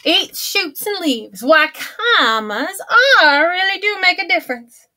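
A woman's voice: extremely high pitch, lively intonation, slow, bright, forceful tone, exaggerated voice. A woman voicing a folksy old grandma who reads a storybook aloud in a chatty, homespun, theatrical way. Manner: chatty and theatrical, gasping and exclaiming.

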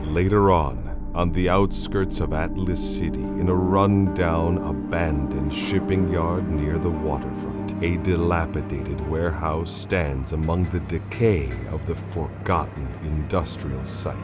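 A man's voice: very deep voice, a little slow, few pauses. A man narrating a supernatural radio play as a grave, dramatic storyteller. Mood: grave, suspenseful. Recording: voice over clear music.

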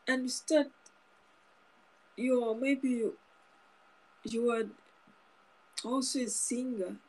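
A woman in her thirties preaches straight into a phone camera held close, her voice a little husky and pitched on the high side. She speaks earnestly, going back over her words and restarting phrases as she makes her point. The sound is close and plain, from a small indoor room. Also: fairly even intonation, slow, poor audio. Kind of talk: informal sermon to camera.

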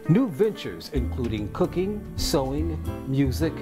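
A man voices a documentary narration, reading lists in a steady cadence. His pitch moves in a lively way around a medium voice. Guitar music plays clearly underneath. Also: a little slow. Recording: voice-over with music bed.

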